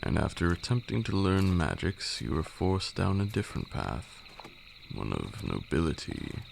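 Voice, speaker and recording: Man acting out a very deep, gravelly vampire lord. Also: heavily acted. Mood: knowing, teasing. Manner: condescending, formal archaic speech, drawn-out delivery. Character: ancient haughty male vampire lord, stern yet teasing mentor. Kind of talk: ASMR roleplay monologue. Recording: steady noise with night insects. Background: crickets and night insect ambience.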